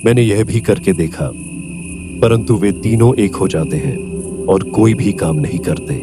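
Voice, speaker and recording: A man playing a worried older farmer and father in a Hindi cartoon story, speaking earnestly and in measured phrases. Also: fairly low pitch, loud music behind the voice, natural voice. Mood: troubled. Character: worried old farmer, father of three lazy sons.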